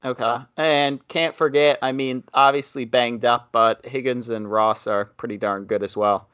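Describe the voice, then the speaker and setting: A man's voice: mid-range voice, steady tone, moderate pace, a bit bright, clean tone, dry recording, highs cut off. A man co-hosting a casual sports podcast, stubbornly contrarian and holding his ground. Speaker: podcast co-host.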